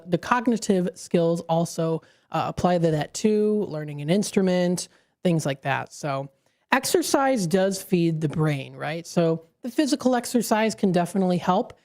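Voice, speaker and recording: A woman's voice: on the low side, dark tone. A woman radio host explaining brain science in a studio, talking at length with frequent fillers and self-corrections.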